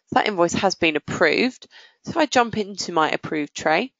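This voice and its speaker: A woman presenting a software walkthrough in a methodical, explanatory way. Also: lowish voice, lively intonation, moderate pace, dry recording, lo-fi sound. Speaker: software demonstrator.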